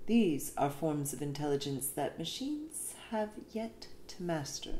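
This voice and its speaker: A woman reads a written essay aloud in an expressive, emphatic way with deliberate phrasing. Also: fairly low pitch. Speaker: reader of an essay. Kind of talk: scripted narration, essay read aloud. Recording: roomy echo, faint background noise.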